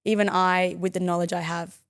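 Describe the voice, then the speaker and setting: A woman's voice: lowish voice, flat delivery, brisk, clean tone. Chatty young woman, podcast guest. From born in the United States. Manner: chatty and upbeat, laughs often. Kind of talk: casual interview chat. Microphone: studio podcast mic.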